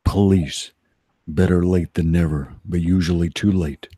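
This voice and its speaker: A man playing a stern, unflappable vigilante hero in an amateur comic-book dramatization, delivered in a slow deadpan drawl. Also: deep voice, husky, dry recording, studio quality. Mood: deadpan, lecturing.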